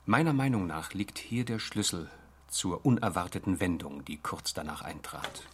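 An actor playing an uneasy space official reviewing secret tapes, hesitant and measured, in a radio play. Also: male voice, deep voice, lively intonation, slight background noise. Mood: worried, pensive.

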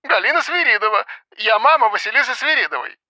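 An actress playing a hysterical, accusing mother in an audiobook, shrill and agitated, heard as if over a phone. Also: expressive, fast talker, bright, forceful tone, no echo, low-quality recording. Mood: subdued, shaken. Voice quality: shrill, shrieking.

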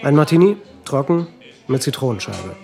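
Irritable husband, very animated delivery. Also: male voice, medium pitch, moderate pace, gravelly, music in the background. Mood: casual. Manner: needling, muttering. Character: irritable, defensive husband driving at night. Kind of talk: naturalistic radio drama dialogue.

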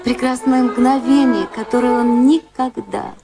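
An actress playing a cool, candid and wistful high-society woman in a radio play, composed and dryly sincere. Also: unhurried, gravelly. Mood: wistful, confiding. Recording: music playing behind the voices.

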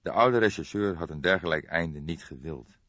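A man reading a detective audiobook as narrator, in measured, dramatic storytelling. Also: low-pitched, a bit bright. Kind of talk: scripted narration of a detective novel. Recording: boxy, echoey, dull recording.

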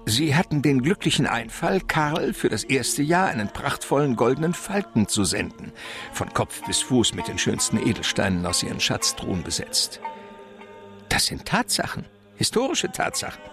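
An actor playing an effusive, wily gentleman mastermind in a German crime radio play, lecturing in florid, theatrical phrases. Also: mid-range voice.

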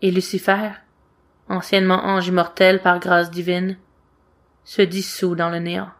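A woman's voice: on the low side, monotone, unhurried. A woman reading scripted fiction aloud as an amateur audiobook-style narrator. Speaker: amateur fan fiction reader.

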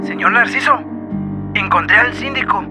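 An actor playing a defiant, insistent mototaxi driver and accomplice in a radio drama. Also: male voice, high voice, animated, normal speed. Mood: urgent. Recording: guitar music behind the voice. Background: guitar music.

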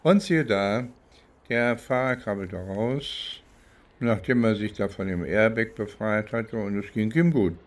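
A man from East Germany, middle-aged or older, recollecting in a deliberate, halting way as he searches for words in an interview. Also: fairly low pitch. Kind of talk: reflective spoken recollection.

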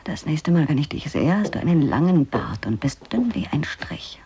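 A woman acting an anxious, resigned wife in a radio drama, her voice breathy and half-whispered. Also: low-pitched, sing-song, moderate pace, warm tone, slight background noise. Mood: resigned, wistful.

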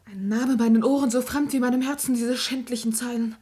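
A woman's voice: on the high side, steady tone, a little fast, warm tone, close to an everyday voice. Woman playing a desperate, dignified young maiden. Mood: grieving. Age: young adult. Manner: tragic, solemn, pointed. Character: desperate, honourable young middle-class woman, defiant under coercion. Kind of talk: classical German radio drama acting.

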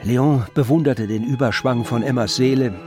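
A man narrating a literary radio drama in measured, deliberate phrases. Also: mid-range voice, over music.

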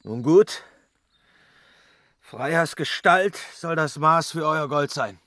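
An actor playing a proud, stubborn ruler of the gods in a German fantasy radio play, grave and commanding, with a husky voice. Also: male voice, fairly high pitch, expressive, slow, bright, forceful tone, no echo, exaggerated voice. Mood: weary, commanding.

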